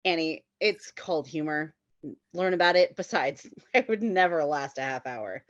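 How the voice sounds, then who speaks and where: A woman's voice: sits low, animated, moderate pace, dry recording, lo-fi sound. A woman in a comedic table read, playing a smug, crude, callous man with cocky, taunting delivery. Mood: dismissive, smug. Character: smug, crude, callous male casual lover.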